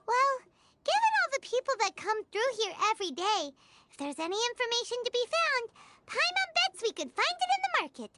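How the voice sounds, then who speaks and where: A woman voicing a tiny, childlike fairy companion in a game, excitable and inquisitive, with a squeaky voice and exclamatory manner. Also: brisk, very noisy. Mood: puzzled, eager. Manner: exclamatory, speaks of herself in third person. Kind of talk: animated game voice acting.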